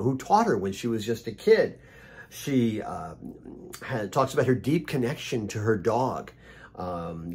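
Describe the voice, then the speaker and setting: Animated, slightly husky man chatting to camera. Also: medium pitch. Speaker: host of a daily video blog. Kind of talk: casual, conversational book recommendation monologue.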